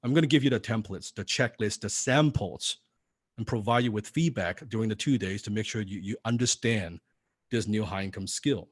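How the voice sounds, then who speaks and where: A man's voice: fairly low pitch, normal speed, slightly rough, no echo, studio quality. A man teaching copywriting in a live seminar, non-native in English, firing rhetorical questions at the audience. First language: not English.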